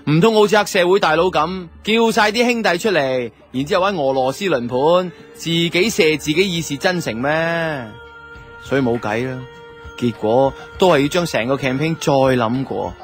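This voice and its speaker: Male actor as wry, aggrieved office guy narrating a radio drama, lightish pitch, Hong Kong Cantonese, conversational grumble. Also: moderate pace, lightly acted. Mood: suspicious, indignant. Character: wry, aggrieved male advertising office worker telling his story. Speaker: narrator and lead character of a radio drama series. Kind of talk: first-person radio drama narration, colloquial. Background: background music.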